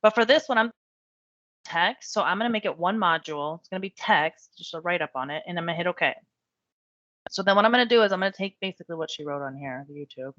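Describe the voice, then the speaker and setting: A woman giving a chatty step-by-step software tutorial while losing her voice. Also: lowish voice, expressive, fast, dry recording, dull audio. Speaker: real estate software trainer. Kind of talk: screen-share software tutorial.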